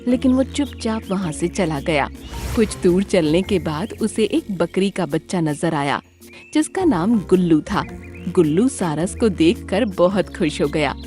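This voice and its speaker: A woman's voice: fairly low pitch, expressive, a little slow, dark tone, soft background music. A woman narrating a scripted children's animal cartoon story.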